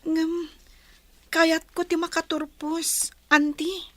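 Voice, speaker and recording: A woman's voice: extremely high pitch, flat delivery, takes it slowly, fairly bright tone, no echo, exaggerated voice. A woman playing a young farm girl in a radio drama, pleading and whiny, with a Filipino accent. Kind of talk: Ilocano radio drama acting.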